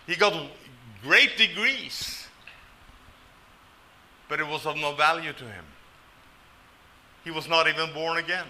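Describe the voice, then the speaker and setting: A man's voice: on the high side, slow pace, long pauses, sharp tone, a little hiss or hum. An older man from Norway preaching a sermon, telling stories with folksy, self-deprecating humor. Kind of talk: sermon with storytelling.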